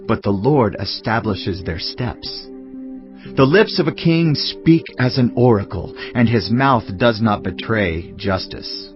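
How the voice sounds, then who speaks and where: A man reads scripture aloud as a solemn, dramatised performance, pitched in the middle range for a male voice. His delivery is measured and deliberate. The recording is slightly dull, with music playing behind him. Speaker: audio Bible reader. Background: clear background music.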